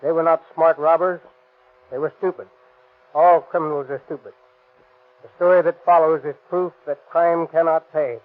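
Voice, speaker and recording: An American man speaks as a police officer in a scripted radio address. His somewhat high voice is formal, stern and declarative. The old 1930s recording is thin and tinny. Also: steady tone, normal speed.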